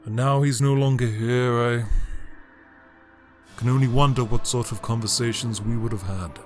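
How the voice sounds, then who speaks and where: A man playing a grandiose, cold tyrant emperor in an audio drama, delivering a pompous, theatrical monologue with deliberate pauses and condescension. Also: medium pitch, steady tone, soft background music, lightly acted. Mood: wistful. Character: grandiose, cold tyrant Emperor, formerly a heroic Time Lord.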